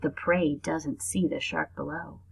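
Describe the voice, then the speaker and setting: A woman's voice: low voice, steady tone, unhurried, vocal fry, slightly rough, low-quality recording. A woman reading aloud to young children like a teacher, explaining things and adding asides as she goes.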